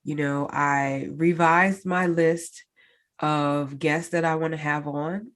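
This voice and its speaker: Solo podcast host, woman, stuffy-nosed and nasal, deep slow easy delivery. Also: fairly even intonation, fairly bright tone. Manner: chatty, many fillers, addresses listeners directly. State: congested, stuffed-up nose. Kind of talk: casual solo podcast update.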